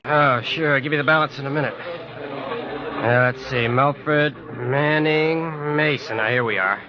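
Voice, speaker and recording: An American actor playing a breezy, friendly bank teller in 1940s radio drama, chatty and familiar. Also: male voice, on the high side, measured pace, a crowd in the background, natural voice. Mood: breezy, joking. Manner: chatty, muttering as he searches. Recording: dull vintage radio recording.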